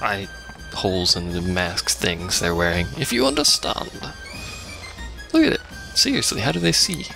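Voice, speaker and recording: A man's voice: sits low, huge pitch swings, moderate pace, vocal fry, poor audio. A man doing casual let's play commentary, full of wry, sarcastic asides. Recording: game music behind the voice.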